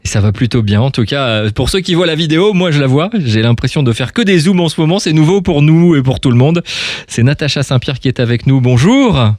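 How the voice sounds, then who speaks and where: Male radio interviewer, conversational, halting with repeated words, very dry sound. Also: a little high, expressive, darkish tone, no room sound. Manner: hesitant, repeats and restarts his words. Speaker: radio host. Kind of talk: casual live radio interview.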